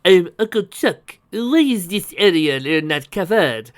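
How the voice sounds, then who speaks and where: Male reader as proud French captain, pompous, excitable, raised pitch, storybook figure. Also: unhurried, dry recording, clean studio sound. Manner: pompous, exaggerated pride.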